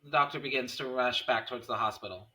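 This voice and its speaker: A man's voice: medium pitch, fast talker, pushed and bright, rough recording. A man reading scene headings and stage directions as narrator at a script table read.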